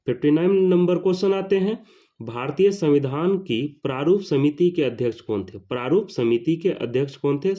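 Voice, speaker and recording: A man running through exam questions. He says every question and answer twice and lifts his voice for each new number. His tone is mellow and dark, and the recording sounds dull and lo-fi. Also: medium pitch. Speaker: teacher.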